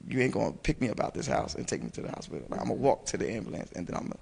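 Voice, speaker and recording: A hoarse young man talking loosely on a panel, rambling with lots of fillers. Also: medium pitch, sing-song, rapid-fire, darkish tone. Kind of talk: casual panel discussion. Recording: long reverb, faint noise.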